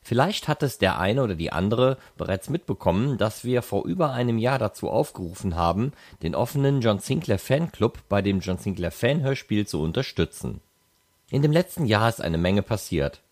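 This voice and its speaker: A man hosts a podcast with a low voice. He delivers scripted narration with lively, varied intonation, moving freely up and down in pitch. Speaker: podcast host.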